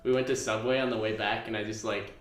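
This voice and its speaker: A man's voice: on the low side, fairly even intonation, fast talker, reverberant, a little hiss or hum. A man of twenty chatting casually as a podcast co-host, rambling through a story with lots of fillers. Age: 20s. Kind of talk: casual chat.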